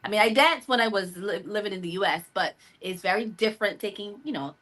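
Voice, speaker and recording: A woman born in Philadelphia and raised in Barbados, with an American accent that carries a Barbadian lilt, telling stories animatedly as an interview guest on a video call. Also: a little fast. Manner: animated, reenacts her own reactions with a high voice. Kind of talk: casual interview storytelling. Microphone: video call mic.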